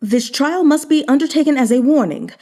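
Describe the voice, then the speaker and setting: A woman's voice: sits high, lively intonation, fairly quick, warm tone, pitched above the performer's own voice. A woman voicing a grave, earnest male official in a historical novel, speaking formally and with urgency. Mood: firm.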